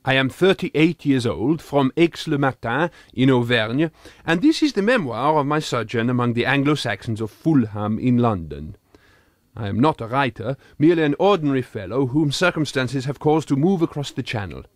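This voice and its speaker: A man's voice: medium pitch, very animated, normal speed, dry recording, studio quality, close to an everyday voice. A man voicing an earnest, pompous thirty-eight-year-old Frenchman with a French accent, reading a comic audiobook diary in formal, quaintly wordy phrases. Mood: earnest, self-important.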